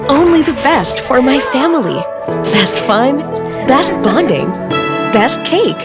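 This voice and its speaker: A woman's voice: sits high, big pitch swings, slow pace. A woman delivering a scripted food advertisement. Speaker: commercial voice. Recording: loud music under the voice. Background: loud music.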